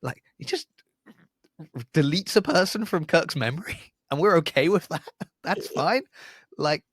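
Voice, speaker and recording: A man's voice: on the high side, animated, unhurried, fairly bright tone, husky, dry recording, clean studio sound. A man hosting a podcast in casual fan discussion, jokey and given to voicing imagined remarks. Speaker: podcast host.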